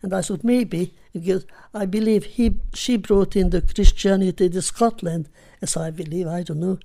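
An older woman from Hungary with a Hungarian accent, recalling her life in an unhurried, reflective way during an oral history interview. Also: fairly low pitch, steady tone, vocal fry, dark tone. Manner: unhurried, reflective, simple phrasing.

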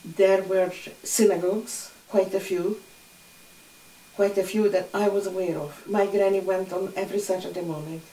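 A woman's voice: mid-range voice, fairly even intonation, normal speed, dark tone. Older woman recalling, distant and roomy. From Hungary. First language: Hungarian. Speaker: interviewee. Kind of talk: oral history recollection. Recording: roomy echo, distant mic.

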